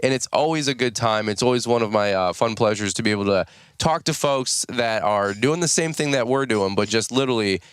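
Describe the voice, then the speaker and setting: An American man in his thirties hosting a podcast in casual, rambling chat, his voice a little raspy from just waking up. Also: mid-range voice, brisk, dry recording, clean studio sound. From United States. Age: 30s. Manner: chatty, rambling, folksy. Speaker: podcast host.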